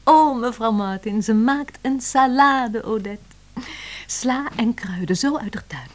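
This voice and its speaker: A woman's voice: on the high side, unhurried, close to an everyday voice. An actress playing an earnest, deferential woman secretary in a radio play, polite and dreamily savouring her words. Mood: dreamy, enthusiastic.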